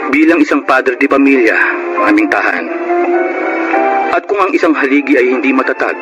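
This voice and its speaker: A man's voice: mid-range voice, moderate pace, lo-fi sound, over loud music, lightly acted. A Filipino man acting in a radio drama as a remorseful, humbled husband and father, pleading earnestly. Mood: solemn reflective.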